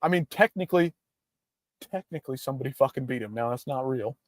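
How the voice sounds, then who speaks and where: A man's voice: medium pitch, animated, fast, no echo, studio quality. A young man hosting a casual livestream, ranting in a hyped-up, profane way. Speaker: livestream host.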